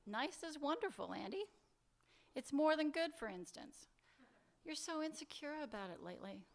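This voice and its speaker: A weary, impatient woman lover, as a young woman reads her aloud. The voice is fairly high but soft and tired, with short, curt replies. It is read at a table mic in a live fiction reading. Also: sing-song, moderate pace. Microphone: table mic on a stand.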